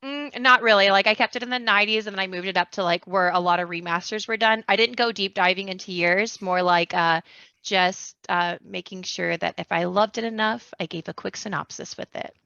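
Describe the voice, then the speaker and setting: A woman's voice: medium pitch, brisk, creaky, sharp tone, smooth, no echo, highs cut off. A woman guesting on a podcast, chatting casually and saying "like" often. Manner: chatty, uses "like" a lot. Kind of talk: casual chat.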